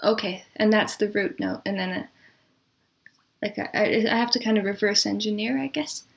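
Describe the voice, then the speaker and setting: A woman's voice: medium pitch, fairly even intonation, moderate pace, gravelly. Chatty woman in a casual interview. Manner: chatty, laughs easily. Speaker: interview guest, composer and arranger. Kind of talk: casual conversational interview answers.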